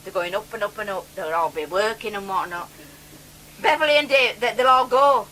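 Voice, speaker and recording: A woman's voice: mid-range voice, moderate pace, bright, forceful tone, a little hiss or hum, low-quality recording. An older woman, a grandmother, in casual family chat on a home video.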